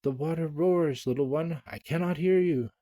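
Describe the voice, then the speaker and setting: A man voicing a stern, weary mother who scolds her son in slow, formal phrases in a storybook read-aloud. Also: on the high side, mellow, voice pushed up, natural voice. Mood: stern reproach.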